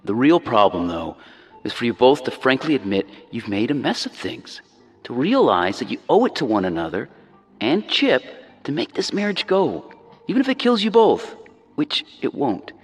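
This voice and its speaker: A man's voice: mid-range voice, sing-song, normal speed, breathy edge, long reverb tail, soft background music. A man playing a blunt, serious character who speaks frankly in a true-crime radio drama.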